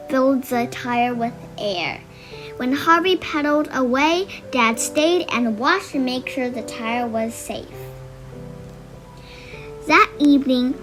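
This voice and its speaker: A woman reading a children's picture book aloud in a gentle, expressive way. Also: high-pitched. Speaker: storybook reader. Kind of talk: children's story read-aloud.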